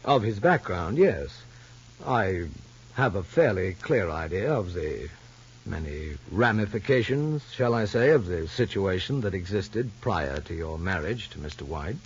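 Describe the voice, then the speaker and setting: An actor playing a formal child psychologist in a radio soap opera, probing gently and deliberately. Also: male voice, low voice, very animated, husky, heavily acted. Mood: calm, probing. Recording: muffled old radio recording.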